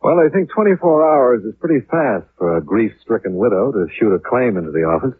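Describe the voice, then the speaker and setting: An actor playing a tough, skeptical American insurance investigator in a 1950s radio drama, with a dry, clipped, probing delivery. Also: male voice, medium pitch, very animated, fairly quick, slight background noise, lightly acted. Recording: tinny, muffled 1950s radio recording.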